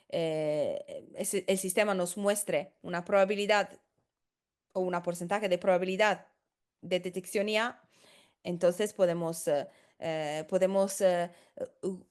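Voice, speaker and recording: A woman who is not a native Spanish speaker, a consultant explaining in a tutorial, hesitant with many fillers and restarts. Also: mid-range voice. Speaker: senior professional services consultant.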